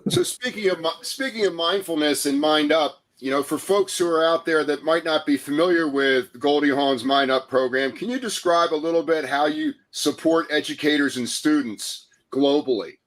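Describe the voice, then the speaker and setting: Calm male breathing coach, slightly high voice. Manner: slow, calm, soothing guidance. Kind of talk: guided breathing and interview hosting.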